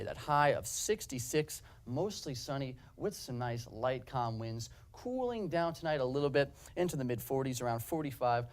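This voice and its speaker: A man's voice: medium pitch, a little mellow. A young man delivering a broadcast weather forecast in an upbeat presenter style, walking viewers through the map. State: slightly hoarse.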